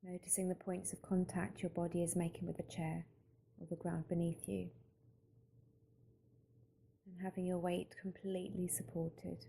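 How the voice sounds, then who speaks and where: A woman guiding a mindfulness meditation in a calm, gentle, unhurried manner with long pauses between phrases. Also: on the low side, gravelly, slight background noise. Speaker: meditation guide. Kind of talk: slow guided mindfulness meditation narration.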